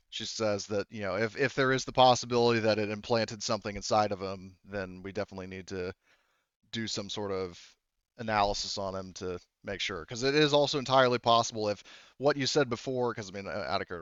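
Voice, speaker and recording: A man with a fairly low voice is running a tabletop roleplaying game. He improvises his narration in a halting, conversational ramble. The recording is very dry and a little muffled. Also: a bit bright, clear, resonant tone, no echo. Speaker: game master.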